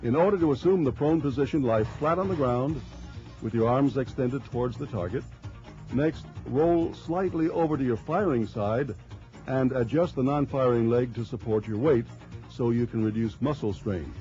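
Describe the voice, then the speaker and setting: A man reading scripted instructional narration for a training film in a measured, formal, explanatory way. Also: mid-range voice, warm tone, faint music behind. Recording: dull lo-fi early 1990s film soundtrack.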